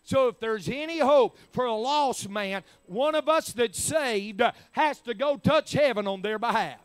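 A man's voice: very high-pitched, unhurried, smooth, dry recording. A man, preaching a sermon in emphatic, rising bursts. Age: 40s. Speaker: pastor.

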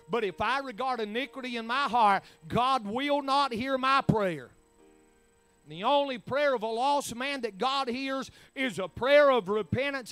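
A man's voice: very high-pitched, fairly bright tone, smooth, no room sound. A man, preaching a sermon in emphatic, rising bursts. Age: 40s. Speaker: pastor.

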